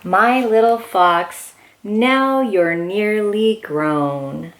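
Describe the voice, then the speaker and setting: Lady doing a kids read aloud, super slow, excited and encouraging, bit of noise in the background. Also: medium pitch, low-quality recording. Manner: excited, encouraging, exclamatory, talking to young children. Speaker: children's read-aloud host. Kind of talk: picture book read-aloud for young children.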